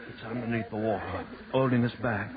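A man's voice: lowish voice, a little fast, dull audio, over a noisy crowd, natural voice. An actor playing a grave, bewildered seaman in a 1940s radio horror drama. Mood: alarmed. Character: bewildered, grim seaman in a rowboat. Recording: thin, noisy 1940s radio recording.

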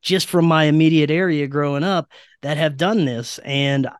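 A man's voice: on the high side, moderate pace, clean tone, dry recording, clean studio sound. A man from Louisiana talking casually as a guest on a podcast.

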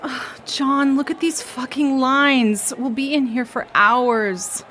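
A woman's voice: high voice, fairly even intonation, takes it slowly, slight background noise. A woman acting as an anxious, loving mother in an audio drama, blunt but tender. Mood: irritated. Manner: frayed and blunt, softening to tender.

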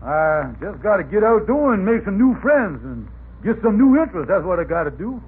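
An actor playing a folksy, hesitant middle-aged husband in old radio comedy, stammering through ungrammatical talk. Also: male voice, high-pitched, big pitch swings, fairly quick, breathy edge, exaggerated voice. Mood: lonely, resolved. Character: scheming, long-married middle-aged man, hapless club leader. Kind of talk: 1940s radio comedy acting. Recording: tinny, noisy old radio recording.

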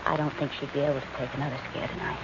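A woman's voice: deep voice, flat delivery, racing. A woman playing a level-headed, caring female companion to a detective, practical and a little hesitant, in 1940s radio style. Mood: casual, concerned. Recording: noisy, muffled old-time radio recording.

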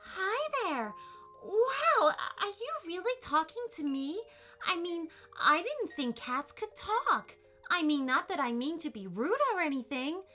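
A woman's voice: very high-pitched, big pitch swings, unhurried, sharp tone, soft background music, voice pushed up, heavily acted. A woman voicing a friendly, polite, eager girl in a children's storybook, gushing with wonder and nervous chattiness. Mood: amazed, nervous.

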